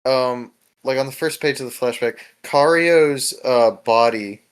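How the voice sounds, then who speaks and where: A man chatting casually as a co-host, with a halting, stammering delivery full of repeated words and casual swearing. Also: medium pitch, smooth. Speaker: podcast co-host discussing a webcomic episode. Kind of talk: casual chat.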